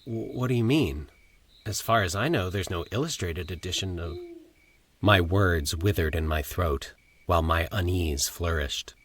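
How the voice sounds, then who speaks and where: A man narrating scripted horror stories as a podcast host, in a theatrical, measured storyteller's manner. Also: low-pitched, fairly bright tone.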